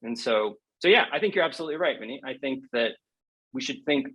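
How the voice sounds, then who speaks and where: A man's voice: medium pitch, expressive, fast talker, sharp tone, husky. A man speaking as a podcast interview guest, conversational and earnest, repeating phrases for emphasis. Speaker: interview guest, poet and prize judge.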